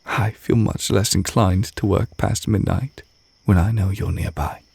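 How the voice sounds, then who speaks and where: A man voicing a tender, reserved witch teacher in a romantic ASMR roleplay, speaking softly and hesitantly, close to the mic with crickets behind. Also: deep voice, fairly even intonation, creaky, breathy edge, dry recording, exaggerated voice. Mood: fond, gentle. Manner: soft, intimate, hesitant, gently measured. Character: tender, reserved male witch teacher confessing love to partner. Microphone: close microphone.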